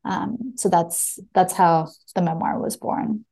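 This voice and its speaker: A woman with a lowish, very soft-edged, mellow voice gives reflective answers as an interviewed author. She speaks thoughtfully and haltingly, often pausing to find the right word. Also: gravelly, breathy edge. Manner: thoughtful, hesitant, searching for words. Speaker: debut memoir author, guest. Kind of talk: reflective interview answers, with a short reading at the start.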